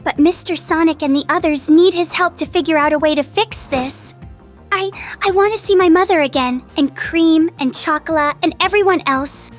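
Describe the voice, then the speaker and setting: A woman's voice: very high voice, normal speed, faint music behind. A woman voicing a sweet, kind little girl rabbit with a polite, pleading cartoon delivery. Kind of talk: cartoon dialogue.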